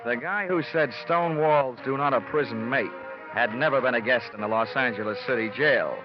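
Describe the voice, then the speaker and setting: Hardboiled insurance investigator male actor, American, deadpan wisecracks, mid-pitched, vintage radio drama, dull old broadcast sound. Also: moderate pace, music in the background, natural voice. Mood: dry, sardonic. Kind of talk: 1950s radio detective drama acting.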